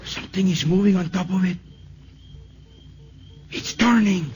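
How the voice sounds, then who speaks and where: An actor playing an excitable deputy who scares easily, in a melodramatic 1950s radio drama. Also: male voice, sits high, measured pace, a little hiss or hum. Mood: alarmed. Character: excitable, frightened male deputy named Salvador.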